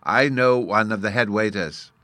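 A man's voice: on the low side, moderate pace, sharp tone, dry recording. A man voicing a tiny, earnest and proud boy fairy from a storybook, scornful at times, in childish baby talk with mispronounced words. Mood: thoughtful, generous. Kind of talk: storybook character reading.

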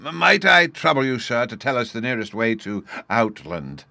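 A man's voice: mid-range voice, sing-song, moderate pace, vocal fry, sharp tone, no room sound, voice pushed up, full character voice. A man voicing a polite, absent-minded old professor in a storybook, courteous, stammering and enthusiastic. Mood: polite, hesitant.

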